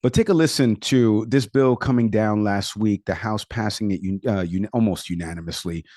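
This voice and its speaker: A man's voice: lowish voice, moderate pace, a little mellow, dry recording, studio quality. A man with a Puerto Rican accent co-hosting a podcast, talking casually and rattling off statistics with frequent fillers. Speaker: podcast co-host; product manager.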